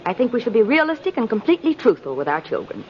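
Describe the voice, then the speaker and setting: A composed woman with a fairly high, animated voice on a muffled old radio recording. She plays a practical, no-nonsense mother, polite but firm, in 1950s radio style. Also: fast talker, slight background noise. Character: poised, practical, no-nonsense mother of a young daughter. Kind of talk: 1950s radio drama acting.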